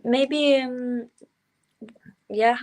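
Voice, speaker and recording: A woman's voice: on the high side, steady tone, drawn-out words, a little mellow. A woman in her twenties with a Norwegian accent, a guest singer in a casual interview, chatty and giddy with lots of fillers. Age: 20s.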